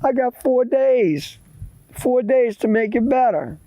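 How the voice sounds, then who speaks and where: A man's voice: high-pitched, steady tone, moderate pace, a little mellow, no echo, voice pushed up, close to an everyday voice. A man voicing a frightened young village boy who stammers and repeats himself defensively in a spoken folk tale. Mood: panicked. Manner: stammering, repeating words, flustered.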